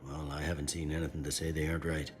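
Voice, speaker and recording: A man's voice: a bass voice, little pitch movement, racing, faint music behind. A man playing a weary, middle-aged security officer in a video game, plain-spoken and downbeat. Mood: weary, sombre. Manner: downbeat, matter-of-fact.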